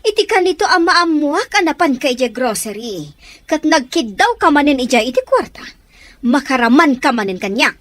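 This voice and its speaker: Radio drama aunt played by a woman: high voice, curt, domineering, ordering people about. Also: sing-song, moderate pace, a bit bright, no room sound. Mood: bossy, scolding. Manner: curt, commanding. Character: harsh, bossy aunt ordering a child around. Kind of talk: radio drama acting in Ilocano.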